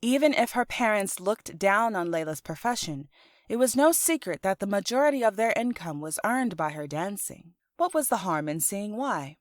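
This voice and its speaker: Female audiobook narrator, medium pitch, expressive storybook delivery, taking her time. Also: normal speed, no echo, studio quality. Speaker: author and narrator.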